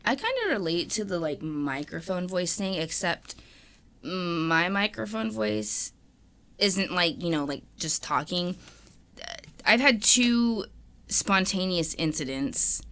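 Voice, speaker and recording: A woman's voice: lowish voice, lively intonation, slow pace, a bit bright. A young woman co-hosting a podcast, rambling in casual chat with lots of fillers and swearing. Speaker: podcast co-host.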